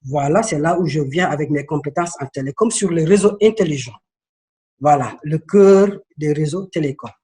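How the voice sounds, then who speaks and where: A man from Senegal in his thirties talks as an interview guest, earnest and storytelling, often stalling and repeating words. His voice sits a little high for a man. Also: moderate pace, darkish tone.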